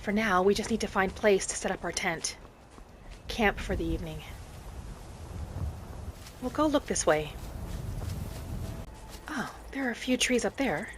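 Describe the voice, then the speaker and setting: A woman voicing a gentle, curious wood elf traveler, musing in first person as she narrates a cozy game roleplay. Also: mid-range voice, lively intonation, a little slow, pauses often, slight background noise, lightly acted. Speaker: gamer narrating a roleplay as her character. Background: game music behind the voice.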